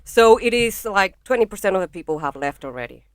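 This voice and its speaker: A woman's voice: mid-range voice, expressive, brisk, no room sound. A young woman from Venezuela with a Venezuelan accent, a comedian talking frankly and swearing freely as a podcast guest in a bedroom studio. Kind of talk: casual podcast chat. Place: small home studio in a bedroom. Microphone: podcast microphone.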